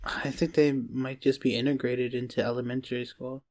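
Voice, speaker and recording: A young man co-hosting a podcast in casual comedic chat, teasing and playful, feeling his way haltingly through sentences. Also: medium pitch, level tone, a little mellow. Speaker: podcast co-host.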